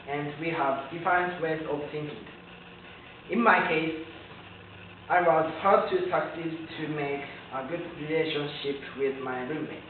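Young man, Japanese accent, fairly high voice, careful non-native phrasing, prepared speech, distant echoey sound. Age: young adult. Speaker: student speaker. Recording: tinny, echoey distant recording with faint noise.

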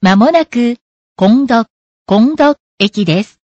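A woman gives a scripted station announcement in a fairly high voice, drawn out very slowly. The sound is dry and slightly lo-fi. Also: expressive, darkish tone, no echo. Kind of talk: scripted Japanese transit announcement. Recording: dull, lo-fi announcement audio.

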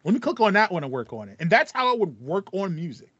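Man, thirties, slightly high pitch, casual podcast host, acts out thoughts, dry close sound. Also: big pitch swings, quick pace, fairly bright tone, slightly rough, dry recording. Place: small room at home with acoustic foam, indoors. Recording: slightly dull, band-limited audio.

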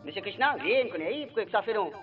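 A man's voice: high-pitched, animated, very fast, over music. A man with a Réunion Creole accent playing a grumbling, cheeky heavenly gatekeeper in a comic radio serial, blustering through a telephone filter. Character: grumbling male Saint Peter, cheeky, easily distracted heavenly gatekeeper. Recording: tinny phone-line sound.

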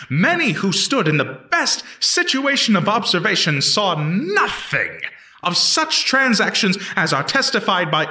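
A man playing an earnest eighteenth-century prosecutor in a historical reenactment, addressing a jury in deliberate, declamatory, formal courtroom oratory. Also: sits high, bright, forceful tone, heavily acted. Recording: echoey room, distant microphone.

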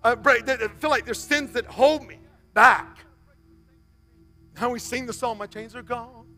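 A high-pitched, bright male pastor preaching from a church stage, surging loud then dropping to a hush. His voice is sharp and forceful, with faint music underneath. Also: a little slow. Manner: swings from loud, high exhortation to soft, near-whispered appeals. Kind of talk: sermon.

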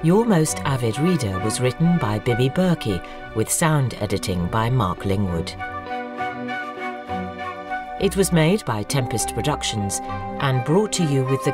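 Female credits announcer, very low register, varied intonation, scripted read over prominent music.

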